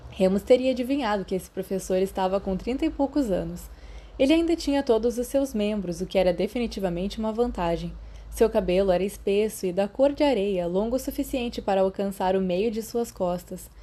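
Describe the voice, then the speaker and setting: Very mellow Brazilian woman narrating fiction. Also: mid-range voice, brisk. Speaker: audiobook narrator.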